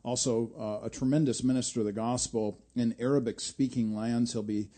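A man's voice: medium pitch, steady tone, moderate pace, warm tone. A man hosting a church radio interview, steadily affirming his guest with murmured agreement. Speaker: radio show host.

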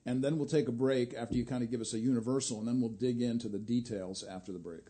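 A man's voice: mid-range voice, fairly even intonation, quick pace, mellow, breathy edge. A man hosting a church radio interview, steadily affirming his guest with murmured agreement. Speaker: radio show host.

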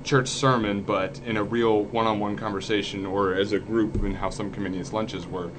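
A man's voice: on the low side, fairly even intonation, moderate pace. A young man, a student, talking earnestly in a group discussion and voicing his own questions as he goes. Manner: earnest, acts out questions in quotes. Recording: background noise.

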